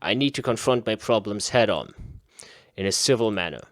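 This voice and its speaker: A man's voice: fairly low pitch, animated, moderate pace, no echo, studio quality. A man giving a casual playthrough commentary, reading game text aloud and muttering to himself in repeated phrases. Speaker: gaming video host.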